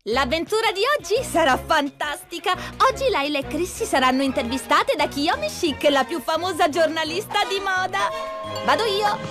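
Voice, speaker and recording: A woman's voice: very high voice, animated, moderate pace, over music. A woman voicing a perky, confident, adventurous young girl in a cartoon dub, eager and exclamatory. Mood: upbeat.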